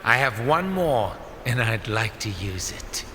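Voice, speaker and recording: A cold, obsessed villain in a radio play, speaking slowly and sardonically with swooping intonation. His taunting menace rings through a long, noisy hall reverb. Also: medium pitch, big pitch swings, sharp tone. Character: menacing, coldly obsessed male villain with a gun. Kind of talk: audio drama acting.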